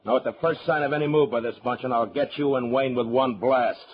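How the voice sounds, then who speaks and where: Western radio drama: stern US marshal, played by a male actor, mid-range voice, terse, steady, used to being obeyed. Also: mid-range voice, fairly quick, a little hiss or hum, natural voice, poor audio. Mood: stern, firm. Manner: curt, commanding, steady. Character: stern, unflinching frontier US marshal, grown man. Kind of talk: old-time western radio drama acting.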